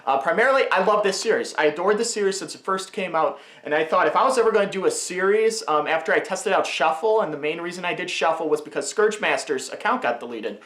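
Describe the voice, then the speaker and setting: A man's voice: sits high, expressive, fast talker, few pauses, fairly bright tone. Chatty man in an echoey room. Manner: rambling, chatty, self-interrupting. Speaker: video creator giving commentary. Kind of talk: casual, unscripted commentary on own series.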